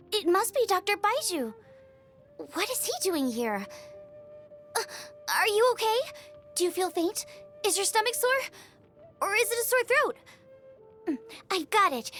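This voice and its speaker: A woman voicing a bubbly, eager, food-obsessed young woman chef in an expressive video game style, chatty and full of exclamations. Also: very high-pitched, full character voice. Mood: proud, enthusiastic, then concerned, then relieved. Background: music.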